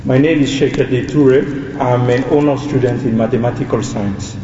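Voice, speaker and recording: A young man, giving an earnest, measured welcome speech as a student and pausing to restart some phrases. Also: mid-range voice, fairly even intonation, background noise. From Ivory Coast. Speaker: student speaker. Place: large hall with audience. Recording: distant roomy hall, dull sound.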